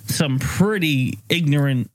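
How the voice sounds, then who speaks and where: A man's voice: fairly high pitch, takes it slowly, darkish tone, no echo, rough recording. A man hosting a podcast in casual chat, telling stories in a loose, profane way. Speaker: podcast host.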